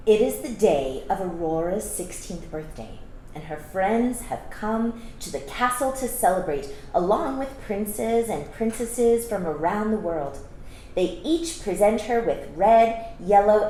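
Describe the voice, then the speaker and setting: Woman reading a storybook in an echoey room. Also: mid-range voice. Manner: expressive, explains to young listeners. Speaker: storytime reader. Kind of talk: children's storybook read-aloud with asides. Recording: echoey room with faint background noise.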